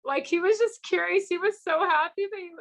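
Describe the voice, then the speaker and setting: A woman's voice: extremely high pitch, a little fast. A woman and small business founder speaking as an interview guest in a casual, chatty, rambling way, full of fillers, and acting out the people she quotes as she tells stories. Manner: animated storyteller who acts out quoted people.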